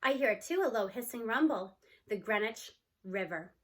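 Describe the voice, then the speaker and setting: A woman's voice: a little high, lively intonation, normal speed, fairly bright tone. A woman narrating a children's novel aloud as a scripted story reading.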